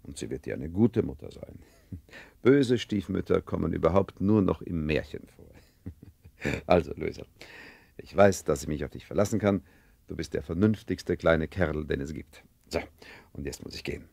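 An actor in a German radio play, playing a stern, preoccupied father with a deep, gravelly voice. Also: expressive, moderate pace, a little mellow, no echo, exaggerated voice. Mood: resolute, placating. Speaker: actor in an audio drama.

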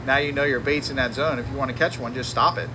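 A charter fishing captain giving a live seminar in a tackle shop, talking casually with many fillers and restarts. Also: male voice, mid-range voice, fairly even intonation, fast, background noise.

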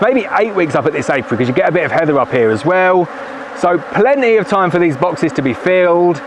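A man's voice: high voice, background noise. A man in his thirties, a beekeeper, chatting upbeat as he works through a hive outside in the evening, recorded from a camera on a tripod. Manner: chatty, upbeat, talks while working with the hive. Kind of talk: casual hands-on video narration. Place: outdoor apiary at a forest edge, in the evening. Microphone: camera on a tripod at a distance, wearing a bee suit veil. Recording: faint outdoor bee buzz. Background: faint outdoor buzzing of bees.

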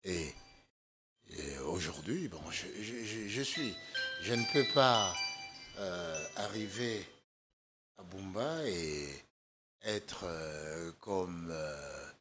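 Older man, deep hoarse slow voice. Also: lively intonation, fairly bright tone, soft background music. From Democratic Republic of the Congo. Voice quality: hoarse, gravelly voice. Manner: measured, halting with frequent fillers. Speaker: political guest. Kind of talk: studio interview. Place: TV studio. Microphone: desk microphone.

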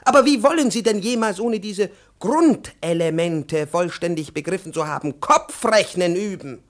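Pompous, irritable professor. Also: male voice, sits high, lively intonation, normal speed. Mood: stern lecturing. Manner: pedantic, formal, lecturing. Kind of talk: 1950s German radio play acting.

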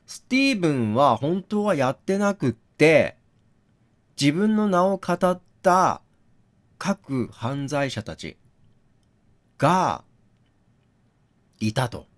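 Slow, musing man with lively intonation. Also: on the high side. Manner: musing, deliberating. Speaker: gaming channel host. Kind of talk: read-aloud game commentary, thinking out loud.

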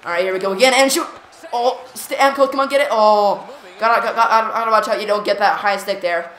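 Woman gaming host; jumpy live reactions, repeats words when excited, echoey room. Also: mid-range voice, fast talker. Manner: jumpy, reactive, lots of "come on" and "here we go". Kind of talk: excited live gameplay commentary. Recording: roomy echo.

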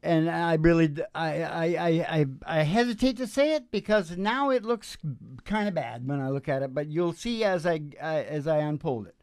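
Older man's halting, musing stage banter. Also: a little high, expressive, clean tone, no echo, studio quality. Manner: halting, self-interrupting, musing. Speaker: musician hosting a live show. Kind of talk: casual rambling stage banter. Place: small indoor stage with curtain. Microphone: stage microphone on a stand, close up. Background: acoustic guitar.

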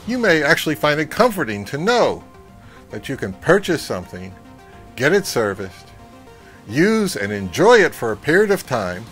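A middle-aged man giving advice to camera as a channel host, speaking in a measured, instructive way. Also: on the high side, fairly bright tone. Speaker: host of a vintage audio channel. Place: outdoors, among trees or on a porch.

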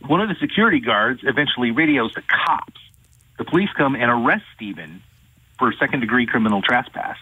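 A man, an attorney, calling in to an interview and recounting a case in a measured way. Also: mid-range voice, lively intonation, husky. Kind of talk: phone-in interview. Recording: tinny phone line.

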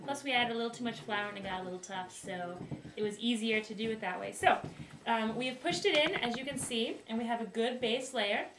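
Young woman doing a jokey cooking show, higher voice, giggly, echoey kitchen picked up from across the room. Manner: giggly, slow, mock-formal announcing. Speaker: host of a home cooking video. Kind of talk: playful mock cooking-show presenting. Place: small wooden home kitchen, indoors. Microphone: far camera. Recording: roomy, distant, faint hiss.

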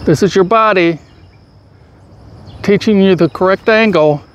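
Middle-aged male golf instructor, high-pitched, patient and steady, recorded outdoors with insects buzzing behind. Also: a little slow, dull audio, a little hiss or hum. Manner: calm, patient step-by-step instruction. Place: outdoors on a grassy field. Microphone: far camera, full-body framing. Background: crickets and insects outdoors.

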